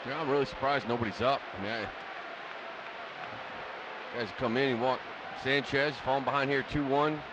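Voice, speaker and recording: A man's voice: medium pitch, steady tone, normal speed, long pauses, background noise. A man giving informal, conversational color analysis on a live baseball radio broadcast. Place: stadium broadcast booth.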